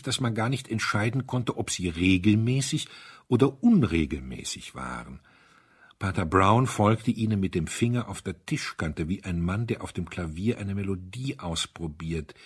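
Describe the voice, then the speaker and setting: Male narrator for literary audio drama: lowish husky voice, suspenseful and measured delivery.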